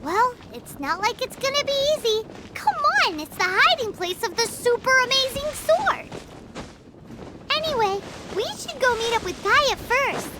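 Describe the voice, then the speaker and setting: A woman voicing a small, excitable fairy girl companion in a video game, chatty and full of exaggerated enthusiasm. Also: unhurried, heavy background noise. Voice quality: high, childlike. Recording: game audio with loud music behind.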